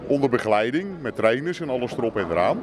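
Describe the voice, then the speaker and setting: A middle-aged man calmly explaining bowling to a reporter inside a bowling centre. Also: mid-range voice, fast talker. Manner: calm, explaining plainly. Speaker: bowling club member interviewed. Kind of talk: casual interview answers. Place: bowling centre bar, indoors. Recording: noisy background.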